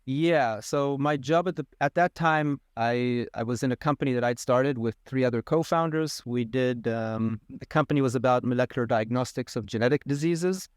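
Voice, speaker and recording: A man in his thirties from Israel, a molecular biologist answering questions in a relaxed, thoughtful way. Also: medium pitch, moderate pace, few pauses, smooth, dry recording, clean studio sound. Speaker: podcast guest, molecular biologist. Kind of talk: conversational interview.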